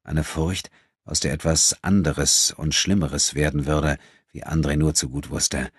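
Ein Mann, der als Hörbucherzähler einen literarischen Text dramatisch vorliest. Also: male voice, rumbling low, steady tone, a little slow, husky, no room sound, clean studio sound. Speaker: audiobook narrator. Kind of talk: dramatic scripted literary narration.

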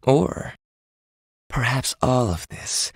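A youthful, composed royal in a fantasy game. The voice is breathy and regal, each line slow and deliberate, recorded dry. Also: female voice, extremely low pitch, animated, no room sound, exaggerated voice. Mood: probing, calm. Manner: earnest, deliberate. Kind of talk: dramatic game voice acting.

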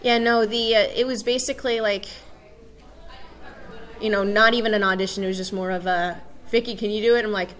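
A young woman voice actor chatting casually on a convention panel, bubbly and quick to laugh, into a table mic. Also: mid-range voice, steady tone, fairly bright tone. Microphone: table mic on stand.

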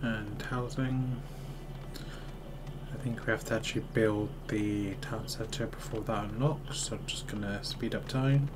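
A man's voice: fairly low pitch, fairly even intonation, unhurried. A man with a sore, slightly scratchy throat giving casual gameplay commentary, thinking aloud without hurry. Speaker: gaming video host. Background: music.

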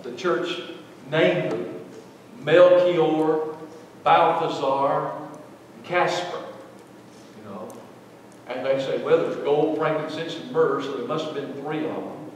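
A middle-aged man preaching a sermon, swinging between loud, emphatic lines and soft ones. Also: fairly high pitch, a little hiss or hum. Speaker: pastor. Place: large church sanctuary. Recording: echoey hall, voice from far off.

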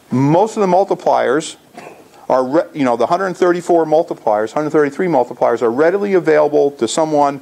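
Male, 60s, creaky gravel in the voice, informal club presenter talking through slides. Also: fairly high pitch, a little mellow. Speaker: amateur radio club presenter. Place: indoor lecture room.

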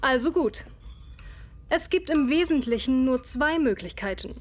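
High-voiced, proud Victorian lady doctor. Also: animated, a little slow, slight background noise. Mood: composed, professional. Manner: formal, precise, haughty. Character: proud, defensive Victorian woman psychologist and doctor. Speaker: voice actor in an audio drama. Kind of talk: German radio play dialogue.

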